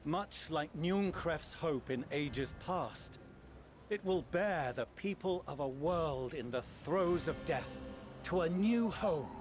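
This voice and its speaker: An actor playing a dignified, earnest scholar-statesman in a fantasy game, giving grave, deliberate exposition in formal language. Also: fairly high pitch, expressive, over music. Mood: grave revelation.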